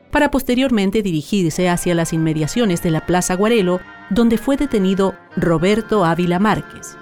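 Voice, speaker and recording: A woman reads scripted documentary narration for a radio drama in a solemn, deliberate manner. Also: medium pitch, a little mellow.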